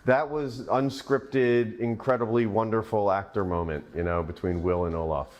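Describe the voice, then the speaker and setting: A man's voice: fairly low pitch, steady tone, moderate pace, a little mellow, muffled recording. A man, a film director, telling behind-the-scenes stories in an interview, enthusiastic and rambling with frequent restarts. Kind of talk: interview, storytelling anecdotes.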